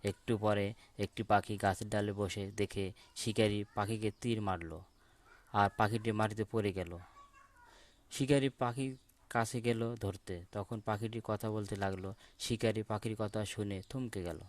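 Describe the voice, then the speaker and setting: A man's voice: on the low side, steady tone, measured pace. A man narrating a children's cartoon story as a voice-over.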